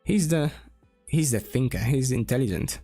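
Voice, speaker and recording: A man from Poland with a Polish accent, talking casually as he draws on a stream, slowly thinking aloud with pauses and restarts. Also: mid-range voice, expressive, mellow, husky, soft background music. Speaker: streamer and game developer. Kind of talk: casual drawing stream talk.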